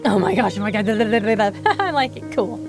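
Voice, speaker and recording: A woman streamer giving casual, reactive commentary while playing a game live. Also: a little high. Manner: reactive, exclamatory. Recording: game music behind the voice.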